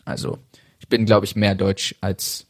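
A man with a slight American accent, a filmmaker guest in a casual interview who speaks calmly with some fillers. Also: on the low side, unhurried, no room sound, clean studio sound. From Germany and the United States.

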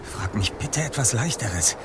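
Young detective leader male actor, analytical and precise, animated audio drama delivery over clear outdoor ambience. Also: mid-range voice, heavy background noise, natural voice. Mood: pondering, assured. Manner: precise, formal, deliberate wording. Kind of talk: German audio drama acting.

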